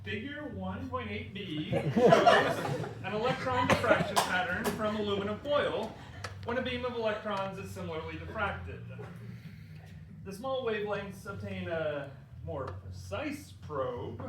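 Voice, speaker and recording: High, swooping theatrical man, distant and echoey. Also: animated, measured pace. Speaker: performer giving a dramatic reading. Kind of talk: theatrical dramatic reading of a science textbook. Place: indoor theater, live performance. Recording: noisy, echoey theater, recorded from far off.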